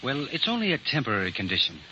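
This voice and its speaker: Young male scientist, mild and polite, bright tone with animated pitch, hesitant phrasing, old radio drama. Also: quick pace, full character voice. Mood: earnest, modest. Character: young, mild-mannered, earnest male biologist. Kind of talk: 1940s radio acting. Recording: dull, faintly noisy 1940s radio recording.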